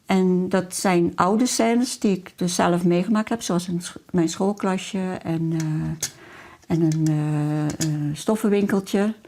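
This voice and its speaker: A woman's voice: fairly low pitch, slow, darkish tone. A middle-aged woman talking about her hobby and memories in a personal interview, as a hobbyist. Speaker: miniature-making hobbyist being interviewed.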